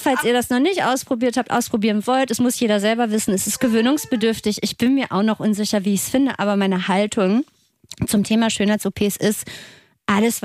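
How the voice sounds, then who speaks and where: A woman's voice: on the high side, a little mellow, dry recording. Woman in her late thirties, dry close recording. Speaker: podcast co-host. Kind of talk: casual chat.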